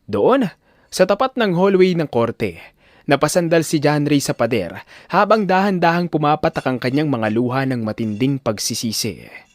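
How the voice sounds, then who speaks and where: A man narrating a scripted audio drama in a solemn, expressive, theatrical storytelling style. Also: on the high side, normal speed, dry recording.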